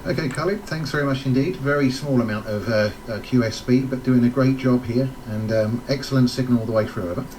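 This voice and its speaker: A man's voice: medium pitch, brisk, hardly any pauses. A man working as an amateur radio operator, speaking in clipped contact-exchange phrases. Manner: spells call signs in phonetics. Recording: clearly noisy radio-contact recording. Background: noise.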